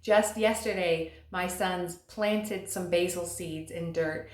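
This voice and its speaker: A woman in her thirties giving earnest, encouraging advice to camera, slowing down to stress key words. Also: fairly low pitch, steady tone. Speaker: vlogger and stay-at-home mom. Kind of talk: scripted advice talk to camera. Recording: echoey room.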